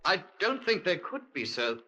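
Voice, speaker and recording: A man's voice: sits high, sing-song, normal speed, sharp tone, heavily acted. A man playing a meek, evasive British lance corporal, stammering and deferential, in a 1950s radio drama. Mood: guarded. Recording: vintage 1950s radio recording.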